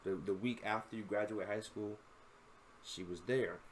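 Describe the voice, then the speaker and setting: A man's voice: on the low side, fairly even intonation, normal speed, a little hiss or hum, rough recording. A 21-year-old man and content creator, giving casual, rambling reaction commentary full of slang and constant "bro.".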